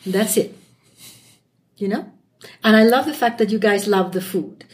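A woman's voice: medium pitch, unhurried. A woman in her seventies from Chile whose first language is Spanish, chatting outspokenly as a guest. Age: 70s. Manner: chatty, warm-hearted and outspoken, with frequent "you know". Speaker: guest, podcast hosts' mother. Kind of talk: casual family conversation.

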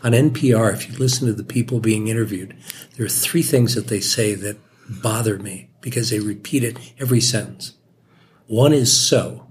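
A man in his seventies giving opinions in a measured way during a family chat. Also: lowish voice, slight background noise. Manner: measured, opinionated, with hesitant restarts. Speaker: guest, podcast hosts' father. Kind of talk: casual family conversation.

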